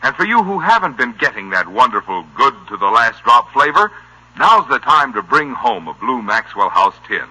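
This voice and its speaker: An American male announcer delivers an old-time radio commercial. His voice is sharp and slightly husky, with lively rises and falls. The selling style is polished and folksy. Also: mid-range voice, moderate pace, rough recording. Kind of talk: 1950s radio ad read.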